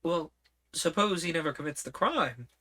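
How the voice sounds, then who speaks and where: A woman's voice: sits low, expressive, normal speed, sharp tone, pitched above the performer's own voice, full character voice. Polite, puzzled young girl in a storybook, read by a woman. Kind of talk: storybook reading.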